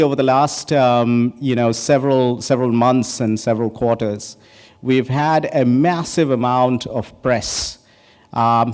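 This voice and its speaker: A man's voice: medium pitch, darkish tone. A man from India in his forties giving an opening talk at a conference, casual and joking, with many ums and restarts. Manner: conversational, many ums and restarts, jokes with the audience. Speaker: keynote speaker. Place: large conference hall stage. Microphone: podium microphone. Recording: reverberant hall.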